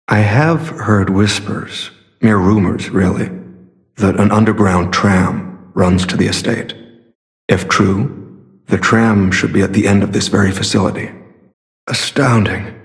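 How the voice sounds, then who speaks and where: A man playing a secretive, earnest masked warrior in a video game, speaking in a measured, formal and solemn way. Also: on the low side, gravelly. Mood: wary, intrigued, then admiring, earnest. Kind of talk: scripted game character dialogue.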